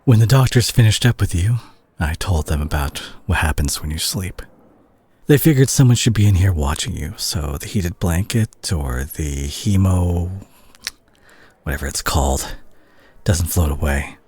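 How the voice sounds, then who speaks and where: Weary male superhero played by a male voice actor for intimate audio drama: husky, low, expressive, concerned. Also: heavily acted. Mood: tired, tender concern. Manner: hushed, halting, sighing, turning exasperated. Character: weary, worried male superhero at a teammate's hospital bedside. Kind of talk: intimate audio roleplay addressed to the listener.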